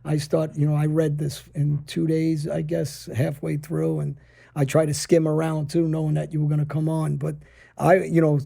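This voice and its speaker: Gravelly dark-voiced man, rambling. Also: fairly high pitch, completely flat delivery, normal speed. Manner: rambling, many "uh"s and "you know"s. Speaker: podcast host. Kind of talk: casual interview chat.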